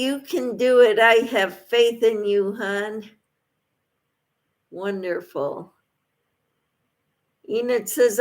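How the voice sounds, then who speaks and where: A woman hosting a casual livestream, reading out viewers' comments and replying to them in an encouraging way. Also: fairly high pitch. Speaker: livestream host.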